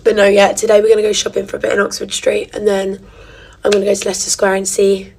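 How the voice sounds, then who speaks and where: Young woman, casual giggly vlogger, mid-height voice, slips into soft whispers. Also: mid-range voice, monotone, fast, gravelly, slight background noise. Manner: chatty and giggly, drops to a soft near-whisper at times. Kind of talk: casual get-ready-with-me chat.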